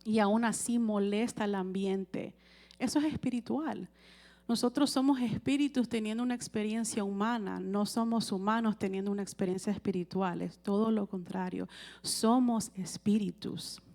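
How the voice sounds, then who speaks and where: A woman preacher, delivering a conversational sermon at an ordinary mid pitch. She keeps checking in with the congregation and calls for amens as she goes. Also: normal speed, darkish tone.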